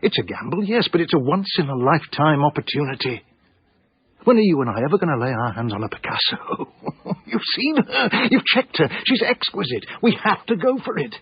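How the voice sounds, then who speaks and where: An actor playing an anxious, earnest small-time English art dealer in a radio drama, hesitant and self-effacing, with an English accent. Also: male voice, on the high side, sing-song, fairly quick, husky, no echo, highs cut off, exaggerated voice. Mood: pleading. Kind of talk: radio drama acting and first-person narration.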